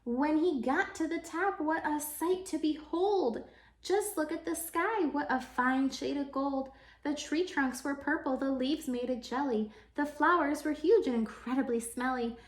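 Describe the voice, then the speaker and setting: A woman with a high voice narrates a children's story in rhyme. She reads expressively, like a teacher addressing young listeners. The recording has a clear room echo. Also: a little slow. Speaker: teacher reading aloud to children. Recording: echoey, roomy sound.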